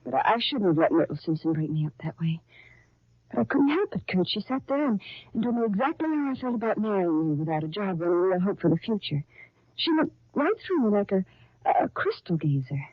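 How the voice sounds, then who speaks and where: Husky, anxious young bride-to-be, old radio. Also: female voice, mid-range voice, big pitch swings, no echo. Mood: anxious, apologetic. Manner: emotional, halting, earnest. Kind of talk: 1930s radio soap acting. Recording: dull, lo-fi 1930s radio recording.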